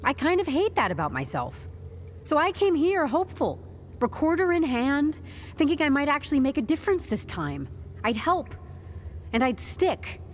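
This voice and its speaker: An actress playing a composed, stubborn HR newcomer in an audio drama, speaking with deadpan corporate politeness and wry candour. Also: female voice, sits high, moderate pace, slight background noise. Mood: frank, weary. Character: composed, stubborn young HR newcomer turning interrogation into interview.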